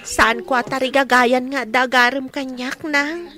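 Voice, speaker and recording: Woman acting young daughter, high-pitched, Ilocano accent, wistful, reserved, radio drama. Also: steady tone, a little slow, sharp tone.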